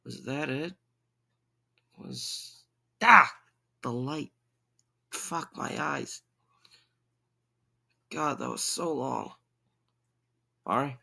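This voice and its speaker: A man playing an awkward, weary young man in an audio drama, with a halting, stammering delivery and a creaky voice. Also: mid-range voice, pushed and bright, exaggerated voice. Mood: annoyed.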